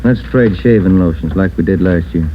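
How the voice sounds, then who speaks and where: An actor playing an easygoing, chatty police detective in a casual, folksy manner, in a 1940s radio drama. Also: male voice, sits low, moderate pace, slight background noise, lightly acted. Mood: friendly, casual. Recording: tinny old radio recording.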